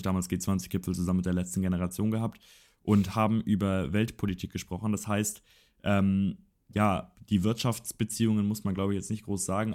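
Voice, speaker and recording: A man's voice: deep voice, a little mellow. A young man co-hosting a casual political chat podcast, rambling and correcting himself as he goes. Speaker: podcast co-host.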